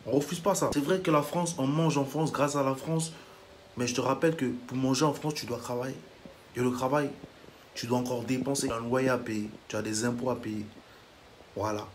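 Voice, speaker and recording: A man's voice: medium pitch, fairly even intonation, a little fast, slight background noise. A man from Africa delivering a heated reaction monologue as a vlogger, indignant and lecturing, blunt to the point of insults.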